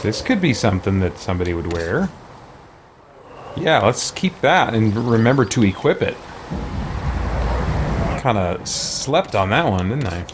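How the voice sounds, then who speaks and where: Slow-paced man doing gameplay commentary, noisy background. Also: fairly low pitch, sing-song. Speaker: gaming video host. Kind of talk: casual game playthrough commentary, thinking aloud. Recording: clear background noise.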